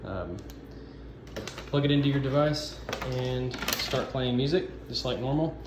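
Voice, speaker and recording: A man giving an informal instructional walkthrough of equipment, explaining in a halting, improvised way. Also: mid-range voice. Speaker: sound system installer giving a walkthrough. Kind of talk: informal instructional demo narration. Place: indoor camp office. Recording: echoey room, noisy ambient background.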